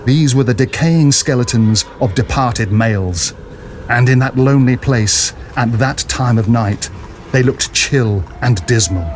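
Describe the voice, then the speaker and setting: A man's voice: mid-range voice, unhurried, a little hiss or hum. A man narrating a story dramatically from a script, as in an audio drama.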